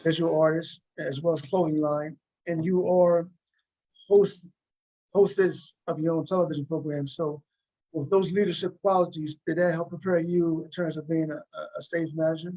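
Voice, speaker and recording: A man's voice: on the high side, little pitch movement, normal speed, mellow, poor audio. A man interviewing guests casually, repeating words and rephrasing his questions as he feels his way along.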